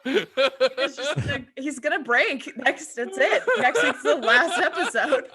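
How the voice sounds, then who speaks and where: A woman's voice: high-pitched, animated, a little slow, pushed and bright. A woman chatting casually and enthusiastically as a panelist on a comedy podcast. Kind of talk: casual chat.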